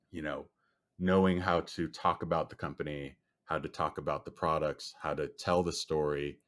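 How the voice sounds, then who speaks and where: A man whose first language is Chinese speaks in a very low, even voice with little rise and fall. He answers at a measured pace and leans on "you know" as he thinks through each point. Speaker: guest, storage company executive. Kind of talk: podcast interview answer.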